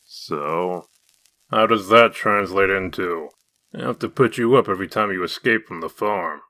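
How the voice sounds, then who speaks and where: A man playing a gruff, humorless wolf-man detective in a comic dub, curt, stern and deadpan. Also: deep voice, unhurried, dry recording, heavily acted. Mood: dry, stern. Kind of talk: comic dub character acting.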